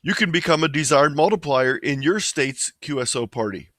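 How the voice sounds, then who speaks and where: A man's voice: on the high side, animated, moderate pace, fairly bright tone, dry recording, studio quality. A man presenting an informational webinar from slides in a plain, explanatory way.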